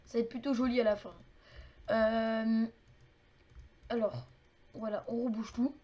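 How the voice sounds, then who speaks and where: A woman walks through a game build in a loose, casual way. Her voice sits a little high, and she keeps filling pauses with fillers and small clicking noises as she works. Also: steady tone, lo-fi sound. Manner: chatty, many fillers and clicking sounds. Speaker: game tutorial maker. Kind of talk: casual game tutorial narration.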